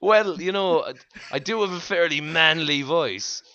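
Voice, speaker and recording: A man's voice: on the high side, a little slow, bright, forceful tone, dry recording, lo-fi sound. A young man casually chatting and joking while playing a game with a friend, with playful asides. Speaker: gamer co-host. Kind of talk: casual let's-play chat.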